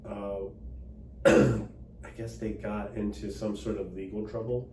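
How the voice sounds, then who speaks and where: A man from Texas, Cantonese his first tongue, talks in a low, level voice with easygoing fillers. He sounds distant from the mic in an echoey room, with clear background noise underneath. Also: takes it slowly. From Dallas, Texas. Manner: relaxed, conversational, frequent fillers. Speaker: political candidate and attorney. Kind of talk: casual interview conversation.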